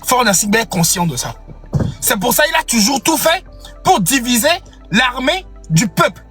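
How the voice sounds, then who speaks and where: Young guy, high bright voice, fiery speech. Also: very animated, moderate pace, faint music behind. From Togo. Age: young adult. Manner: impassioned, declaiming, repeats phrases. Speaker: activist. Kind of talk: political speech.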